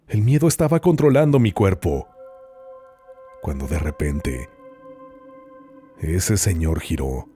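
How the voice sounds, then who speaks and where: A man narrating horror stories as host, in a slow, hushed, dramatic reading with long pauses. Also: low-pitched.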